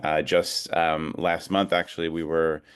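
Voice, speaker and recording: Very deep, smooth-voiced man, reflective. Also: little pitch movement, measured pace. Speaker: advocacy organization leader, guest speaker. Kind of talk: informal talk at an online rally. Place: remote video call. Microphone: video call.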